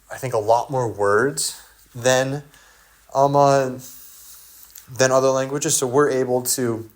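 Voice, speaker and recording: A native English-speaking man in a casual solo monologue, thinking aloud with many fillers and restarts. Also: medium pitch. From English-speaking country. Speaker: video essayist.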